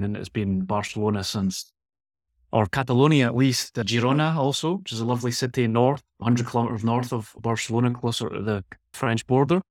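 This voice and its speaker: A man's voice: lowish voice, no room sound, studio quality. A Scottish man from Glasgow, a guest expert in a conversational interview, speaking thoughtfully and often restarting his phrases. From Scotland.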